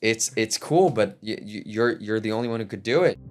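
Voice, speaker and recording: A man's voice: on the low side, brisk. A young man hosting a podcast conversation, talking loosely with many fillers and friendly praise. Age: young adult. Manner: relaxed, filler-heavy, warm toward guest. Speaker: podcast host and musician.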